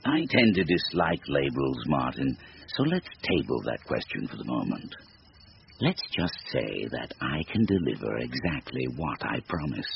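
An actor playing a sinister supernatural bargainer in a radio drama, gravelly, with a deliberate, formal, taunting delivery. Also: male voice, a bass voice, very animated, heavily acted. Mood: cool, bargaining. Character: sinister supernatural stranger, silky, devilish bargainer, bored and mocking. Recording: noisy, muffled radio drama recording.

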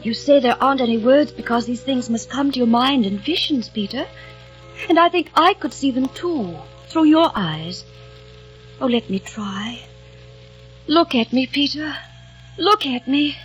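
A woman's voice: high-pitched, lively intonation, unhurried, soft background music, poor audio. An actress playing an adoring young woman in an old radio drama, speaking eager and pleading. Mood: entranced. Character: young woman, devoted dreamy sister. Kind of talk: 1940s radio acting.

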